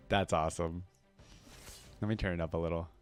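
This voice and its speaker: A man hosting a reaction video, commenting casually in an amused, slangy, informal way. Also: low voice.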